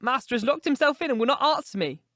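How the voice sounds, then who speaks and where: A man's voice: very high voice, expressive, fast, fairly bright tone, no room sound, clean studio sound, voice pushed up. A man voicing an anxious, devoted old housekeeper woman in an audiobook story, fretful and effusive. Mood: frightened. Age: older.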